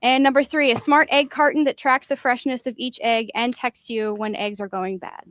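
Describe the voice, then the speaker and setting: A woman hosting a podcast quiz game, casually reading out each round and chatting in between, sometimes clearing her throat. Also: a little high, fairly even intonation, moderate pace, fairly bright tone, dry recording.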